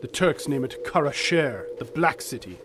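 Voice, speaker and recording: Man acting a devout Afghan warrior, high-pitched over noisy ambience. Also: lively intonation, moderate pace, close to an everyday voice. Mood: solemn. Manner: formal, archaic, florid phrasing. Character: devout, fierce Afghan fighter, loyal sidekick to an American adventurer. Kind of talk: audio drama acting.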